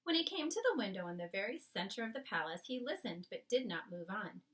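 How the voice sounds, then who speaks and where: A woman reading a children's book aloud expressively, with careful, dramatic phrasing and pauses. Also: mid-range voice, very animated, a bit bright, far from the mic. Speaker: storybook reader.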